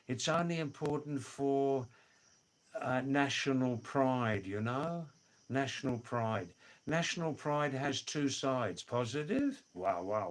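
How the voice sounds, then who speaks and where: Slow-talking older man chatting on a livestream. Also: medium pitch. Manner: slow, drawn-out, repeats words, chuckles "hoo hoo hoo". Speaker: livestream host reading viewer comments. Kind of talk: casual live chat. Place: home in the Himalayas.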